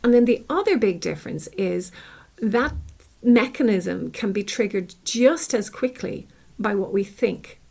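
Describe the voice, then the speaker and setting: A woman's voice: medium pitch, expressive, unhurried, gravelly, darkish tone. Irish woman explaining in conversation. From Ireland. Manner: explains with everyday examples and acted-out thoughts. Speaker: clinical psychologist, podcast guest.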